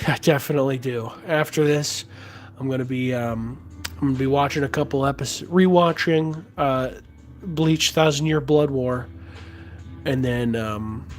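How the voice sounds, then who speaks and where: A man with a raspy, hoarse voice after being sick, chatting casually on a livestream with halting speech and many fillers while he answers viewers. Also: on the high side. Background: music playing softly.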